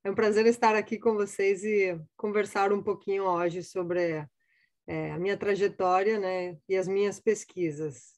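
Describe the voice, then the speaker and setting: A woman's voice: medium pitch, fairly even intonation, a little slow, darkish tone. A woman from Italy in her forties speaking with an Italian accent, chatting casually as a guest scientist with many fillers and restarts. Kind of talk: casual interview answers.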